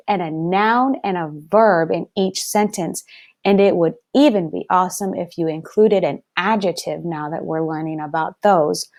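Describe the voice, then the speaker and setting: A woman speaks as a first-grade teacher leading a read-aloud lesson. Her pitch moves in lively swings while her pace stays slow. She places each word deliberately and talks straight to the children with encouragement. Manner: deliberate enunciation, addressing children directly.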